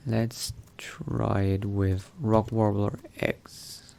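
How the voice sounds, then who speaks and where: A man doing in-character game commentary, thinking aloud in a musing, unhurried murmur to himself. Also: lowish voice, little pitch movement, gravelly, mellow. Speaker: gamer narrating a roleplay playthrough.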